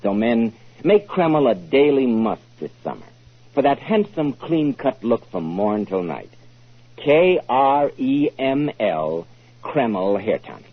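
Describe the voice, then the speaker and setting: A man announcing and hosting a 1940s radio show, with an emphatic, polished salesman's delivery and a husky voice. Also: medium pitch, very animated, takes it slowly. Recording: muffled old 1940s radio recording.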